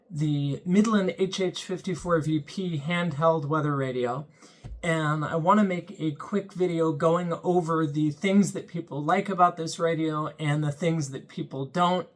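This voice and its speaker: A young man hosting his own channel, giving a casual, earnest product review in a plain-spoken way with frequent ums. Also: a little high. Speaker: channel host reviewing a weather radio.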